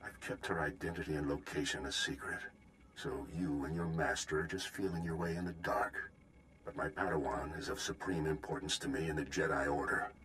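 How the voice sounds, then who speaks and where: A man playing a proud, protective Jedi Master in a video game, speaking in a measured, formal, defiant way. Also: very deep voice, fairly even intonation, a bit bright, a little hiss or hum, poor audio. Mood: guarded, resolute.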